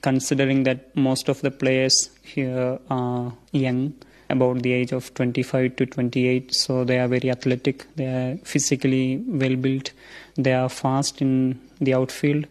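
Earnest Indian man, flat delivery. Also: mid-range voice, unhurried, low-quality recording. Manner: formal, earnest phrasing. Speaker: seminarian interviewee. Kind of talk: interview answers.

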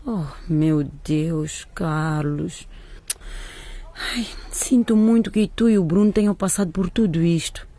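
A woman playing a worried, protective mother in a radio drama, tender and gently probing. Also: on the low side, slow pace. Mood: alarmed.

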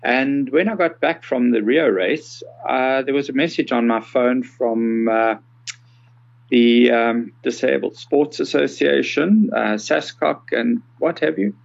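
A South African man in his sixties giving conversational, measured interview answers with some hesitation. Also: mid-range voice, creaky, muffled recording. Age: 60s. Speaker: podcast guest, accessibility consultant.

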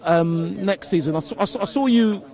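A man's voice: high-pitched, moderate pace, darkish tone. A man with a Nigerian accent, a football fan interviewed outdoors, speaking insistently and repeating himself when provoked. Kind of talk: street interview.

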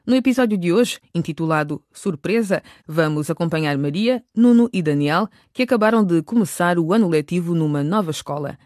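A man's voice: fairly high pitch, lively intonation, a little fast, few pauses, a little mellow, no room sound, studio quality. A man narrating a radio soap in a scripted, presenting style.

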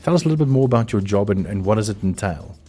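A man's voice: lowish voice, expressive, racing, warm tone, breathy edge, soft background music. A man with a South African accent hosting a radio talk show in a studio, chatty and rambling with many fillers.

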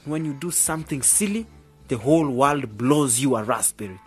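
Actor as young male DJ, highly animated intonation, cocky, playful, slangy, boastful, radio drama. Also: fairly quick, faint music behind, natural voice. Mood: cocky, teasing.

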